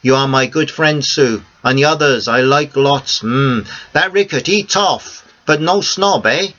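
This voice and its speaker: A man voicing an old cafe owner, friendly and excitable, speaking broken English with lots of "ah" and "eh". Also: mid-range voice, unhurried, pitched above the performer's own voice, lightly acted. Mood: eager. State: a bit out of breath. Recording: dull lo-fi recording.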